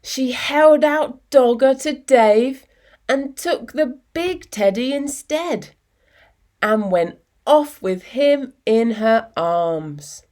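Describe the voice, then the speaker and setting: A woman reading a children's story expressively, with measured phrasing and dramatic pauses. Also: fairly high pitch, expressive, darkish tone.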